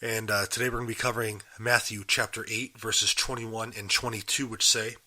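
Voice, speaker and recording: Male podcast host getting over a cold: hoarse, bright and pressed, earnest teaching with questions to the listener. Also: on the low side, little pitch movement, a little fast. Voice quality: raspy. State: recovering from a cold, raspy. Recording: live and unedited.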